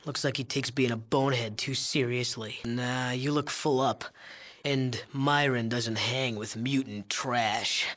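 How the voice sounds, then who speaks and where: A man's voice: medium pitch, fairly even intonation, measured pace, a bit bright, close to an everyday voice. An American man playing a cocky, sleazy young genius drug chemist in a video game, boastful and crude, with a smarmy wise-guy way of talking. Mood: smug, boastful. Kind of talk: video game character dialogue.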